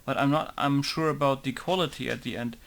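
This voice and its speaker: A man's voice: mid-range voice, brisk, creaky, fairly bright tone. A man casually talking through a game modding tutorial in an informal, rambling way, swearing now and then. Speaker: game modder.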